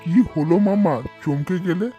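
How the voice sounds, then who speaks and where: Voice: medium pitch, moderate pace, lo-fi sound, over music, lightly acted. An actor voicing an eager, inquisitive young village boy who loves food, in cartoon dialogue. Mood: eager, pleading.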